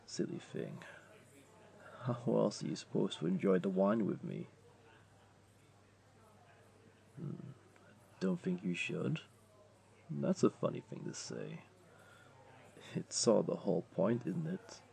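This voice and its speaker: A flirty male jaguar beastling played by a man lounges through a tavern roleplay in a very low, creaky voice. His delivery is playful and coaxing, swinging widely in pitch as he teases the listener. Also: huge pitch swings, mellow, full character voice. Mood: amused, coaxing. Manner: playful, coaxing, unhurried teasing. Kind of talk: roleplay audio drama, speaking directly to listener.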